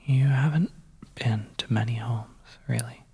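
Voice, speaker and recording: Whispered ASMR narration, very breathy, crackly fry, soft storyteller, hushed suspense story. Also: medium pitch. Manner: soft, hushed, gentle delivery with pauses. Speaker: audio storyteller.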